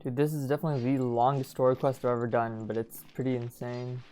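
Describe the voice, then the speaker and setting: Fast, excited young gaming host man. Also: medium pitch, slight background noise. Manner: excited, loud, slangy. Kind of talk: casual gameplay commentary.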